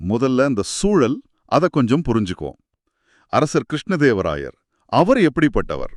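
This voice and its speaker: Male podcast co-host, mid-pitched and animated, explanatory and questioning, dry close studio sound. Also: normal speed, dry recording. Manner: explanatory, posing reflective questions. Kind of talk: conversational podcast discussion of a folk tale.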